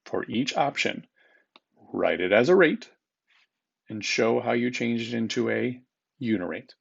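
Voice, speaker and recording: A man's voice: medium pitch, lively intonation, a little slow, vocal fry, breathy edge, highs cut off. A man speaking as a teacher, reading test questions aloud to students in an informal, instructive manner and repeating them.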